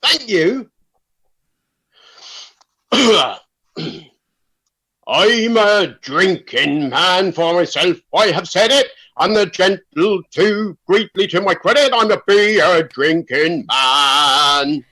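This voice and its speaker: A man's voice: high voice, lively intonation, very slow, pauses often, pushed and bright, heavily acted. An exuberant, beer-loving village singer played by a man with a voice that swoops widely. He is hearty and encouraging, organising his friends in a radio comedy. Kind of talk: radio comedy drama acting.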